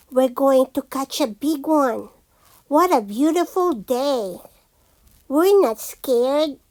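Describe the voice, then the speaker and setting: A woman reading a children's picture book aloud in a theatrical, sing-song manner, like a storytelling teacher. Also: high-pitched. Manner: theatrical, slow and deliberate with sing-song repeated phrases. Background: background noise and sound effects.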